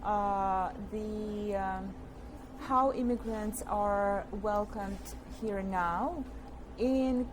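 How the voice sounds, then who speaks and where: A woman's voice: medium pitch, extremely slow. A woman interviewer speaking casually and somewhat hesitantly, with short prompts and questions. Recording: clear background noise and room ambience.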